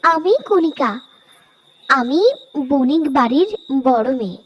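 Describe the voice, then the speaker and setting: Woman as high-pitched eerie young ghost woman. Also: expressive, slow pace, highs cut off. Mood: mysterious, coy. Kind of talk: horror cartoon voice acting.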